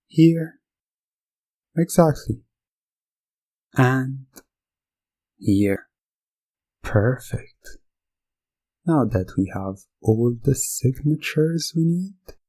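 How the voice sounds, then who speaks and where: A man performs as a sinister male doctor in an ASMR hypnosis roleplay. His voice is low and gravelly, with a mellow edge. He speaks in a soothing, repetitive, calmly menacing way. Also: heavily acted, poor audio. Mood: polite, clinical.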